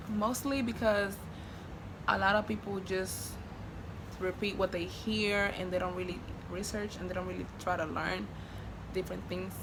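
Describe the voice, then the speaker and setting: A woman's voice: medium pitch, background noise. A young woman from Honduras with a Spanish accent, chatting casually to her camera with lots of fillers and restarts. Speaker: vlogger.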